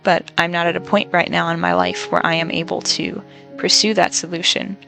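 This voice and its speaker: A young woman and college student, talking through a personal venting monologue in a reflective, halting, measured way. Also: on the low side, flat delivery, gravelly, over music. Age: young adult.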